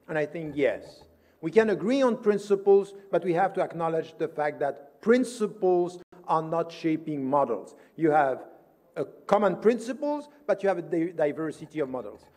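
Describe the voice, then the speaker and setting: Male conference speaker, high-pitched voice, emphatic and didactic, argues formally, long hall reverb. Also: a little mellow. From Switzerland. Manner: emphatic, didactic, poses questions and answers them. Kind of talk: formal conference lecture, argumentative.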